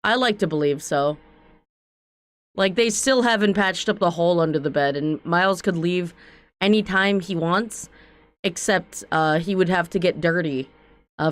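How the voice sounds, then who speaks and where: A woman streamer with a slightly raspy voice, giving chatty, jokey commentary while playing a game. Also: on the low side, normal speed. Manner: chatty, jokey asides to chat. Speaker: vtuber streamer. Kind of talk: playthrough commentary.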